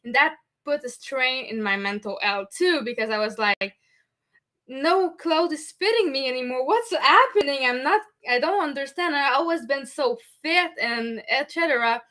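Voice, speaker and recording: Bright-voiced French-Canadian woman host. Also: sits high, animated, normal speed. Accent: Québécois French. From Montreal, Canada. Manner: earnest, repeats herself, frequent "okay?" asides. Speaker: podcast host. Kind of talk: candid personal talk.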